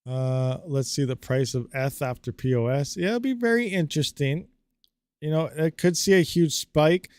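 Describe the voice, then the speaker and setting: A man's voice: fairly high pitch, normal speed, no room sound. A man hosting a live stream, chatting casually and rambling with lots of fillers while answering viewer comments. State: slightly dry throat. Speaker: livestream host.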